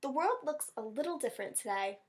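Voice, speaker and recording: A woman's voice: high-pitched, expressive, a little fast. A woman voicing a happy, hopeful little mouse with an upbeat, friendly manner, in a children's picture-book read-aloud.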